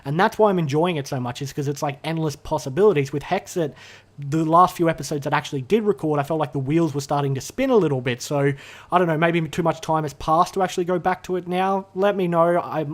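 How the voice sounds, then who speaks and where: A man with a fairly high voice talks straight to a camera in his own room, rambling loosely about nothing in particular. He fills his speech with "uh" and corrects himself as he goes. His voice is a bit hoarse from being sick. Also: fast talker. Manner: rambling, chatty, many fillers and self-corrections. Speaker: gaming YouTuber. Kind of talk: unscripted casual vlog ramble. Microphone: talking to a camera.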